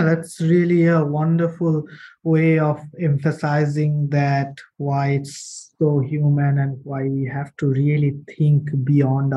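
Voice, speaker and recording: A man's voice: mid-range voice, warm tone. A man hosting an interview, asking long, winding questions full of fillers. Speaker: interviewer, podcast host.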